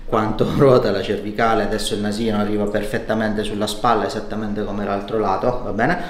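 A middle-aged man, a chiropractor giving a patient gentle, informal, short instructions and reassurance. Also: lowish voice, little pitch movement, fairly quick. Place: indoor treatment room in a home-like studio. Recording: echoey room, clear background noise.

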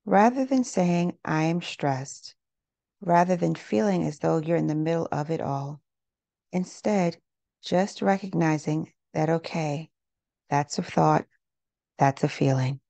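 A woman leading a guided meditation in a gentle, unhurried, measured way, leaving pauses between phrases. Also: low-pitched, gravelly, no echo. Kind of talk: guided meditation, scripted narration.

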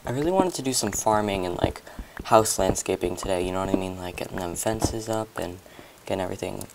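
Hoarse, gravelly young man narrating gameplay. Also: low-pitched, brisk, noisy background. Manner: chatty, rambling, talks to viewers while playing. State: hoarse, losing his voice. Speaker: gameplay commentator. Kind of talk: casual gameplay commentary.

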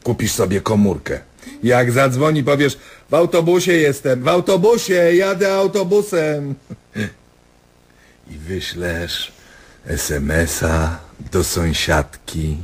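Man playing a husky, low-voiced mocking doctor. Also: heavily acted. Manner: sarcastic, taunting, theatrical. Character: diabolical, mocking male doctor toying with his patient. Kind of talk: radio drama acting.

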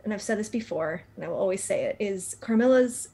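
A woman's voice: mid-range voice, animated, fairly quick, warm tone. A young adult woman, an actress, chatting in a gushing, enthusiastic way in an interview over a video call. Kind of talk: casual interview chat. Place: indoors on a group video call.

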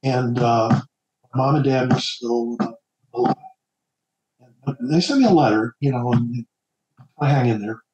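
A middle-aged man from New Jersey, an author guest on a casual podcast, talking in a halting way with many stammered repeats. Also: medium pitch, darkish tone. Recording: echoey room.

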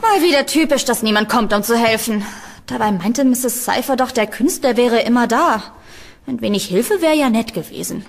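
A woman playing a young student in a horror audio drama, speaking in an expressive, chatty way. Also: a little high, moderate pace. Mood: friendly, matter-of-fact. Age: young adult.

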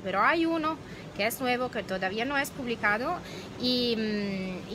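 A woman in her thirties, a native Italian speaker, talks in Spanish that she is still searching for, with pauses and restarts. Her voice sits a little high. She is recorded on a phone held at arm's length on an outdoor patio, with clear background noise behind her. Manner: searching for words, slow and hesitant. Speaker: dog behavior researcher. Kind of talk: live video chat. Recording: noisy outdoor phone stream.

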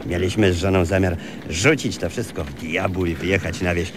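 A low-voiced, impulsive married man played by an actor, blunt and choleric in a radio comedy. There is clear noise around him. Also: steady tone, fairly quick, natural voice. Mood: confiding. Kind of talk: comic radio play acting.

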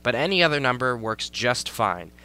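A man's voice: mid-range voice, big pitch swings, moderate pace, sharp tone. A young man giving a step-by-step game building tutorial as a voice-over, his voice a little off from a recent cold. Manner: step-by-step instructional, repeats "you're gonna wanna". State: recovering from a cold. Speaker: tutorial creator.

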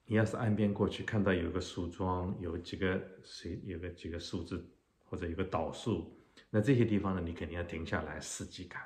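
A middle-aged man teaching a fishing technique in an unhurried way, explaining step by step with pauses, filmed at home indoors. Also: sits low, steady tone, a little mellow. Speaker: fishing channel host. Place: home living room, indoors. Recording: roomy echo.

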